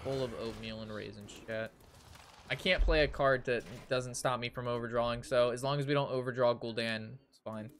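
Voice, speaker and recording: A young man streaming a card game and chatting to viewers in a casual, ranting way. His pitch sits in the middle for a man. He swears constantly and hammers on repeated phrases, sounding exasperated. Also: steady tone, normal speed, fairly bright tone.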